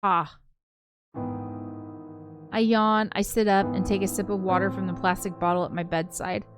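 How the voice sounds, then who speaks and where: A woman's voice: mid-range voice, level tone, normal speed. A woman streaming a visual novel, reading it aloud in a casual voice and chatting with informal, giggly asides. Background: game soundtrack music.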